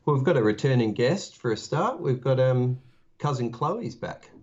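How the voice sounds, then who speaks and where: An Australian man co-hosting a podcast in relaxed, halting chat, full of ums and restarts. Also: medium pitch, breathy edge, highs cut off. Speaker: podcast co-host.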